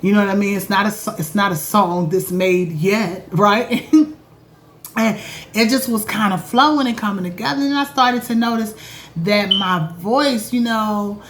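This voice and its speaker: A woman talking casually to her viewers about astrology from her home, chatty and animated, calling them y'all. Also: medium pitch, a little slow, a little hiss or hum. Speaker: astrologer and vlog host. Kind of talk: casual spiritual vlog talk.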